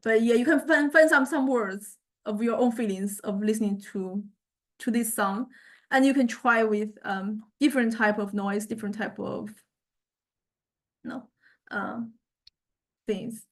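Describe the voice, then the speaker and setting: A woman lecturer giving an informal software demonstration, explaining conversationally and often hesitating as she searches for words. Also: medium pitch. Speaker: university music technology lecturer.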